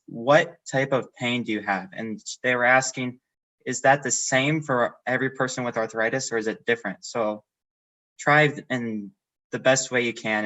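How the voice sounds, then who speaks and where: A teenage boy from South Dakota hosting a live panel, talking quickly with restarts. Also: on the low side, pushed and bright, rough recording. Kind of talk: casual live Q and A moderating.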